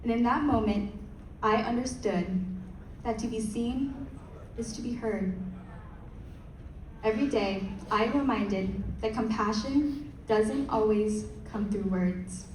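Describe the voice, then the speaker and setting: A woman's voice: on the high side, distant mic, a little hiss or hum. Young woman reciting a speech in an echoey hall. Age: young adult. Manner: measured, rehearsed delivery. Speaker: pageant contestant. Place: large hall.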